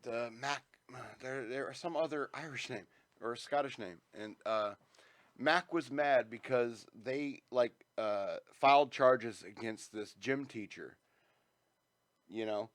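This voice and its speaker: A man's voice: unhurried, no echo. 40s guy rambling thru crude stories, dry close mic. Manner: rambling storyteller, acts out quotes in a high whiny voice. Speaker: podcast co-host. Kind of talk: crude casual banter.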